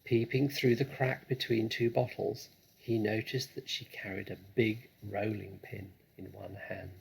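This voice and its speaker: A man narrating a children's story in a deliberate, expressive, dramatic way. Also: lowish voice, gravelly, husky, poor audio. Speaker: storybook reader.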